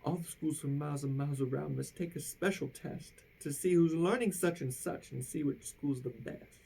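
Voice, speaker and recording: A woman's voice: low voice, normal speed, vocal fry, warm tone, husky, exaggerated voice, poor audio. A woman voicing a sad, fretful male school principal from a storybook, proclaiming anxiously and dramatically. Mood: mournful, anxious. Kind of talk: storybook character voice.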